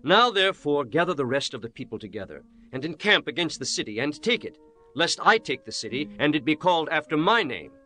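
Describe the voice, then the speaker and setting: A man's voice: a little high, very animated, brisk, pushed and bright. A man playing an intense biblical figure with declamatory, commanding delivery in a dramatized scripture audiobook.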